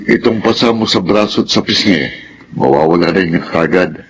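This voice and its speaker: A man's voice: low-pitched, moderate pace, a crowd in the background, natural voice. An actor playing a firm but caring middle-aged father in a Filipino radio drama, with a Filipino accent.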